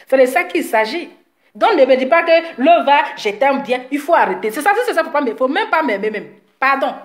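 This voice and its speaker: Woman, high voice, scolding live rant, repetitive, slight cold, echoey room. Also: lively intonation, normal speed. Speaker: social media commentator. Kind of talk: live video rant to followers.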